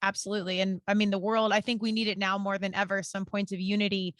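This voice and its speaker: A woman's voice: mid-range voice, level tone, fast, fairly bright tone, no room sound, studio quality. A woman hosting a casual podcast interview, speaking in an enthusiastic, informal and affirming way. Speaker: podcast host interviewing a guest.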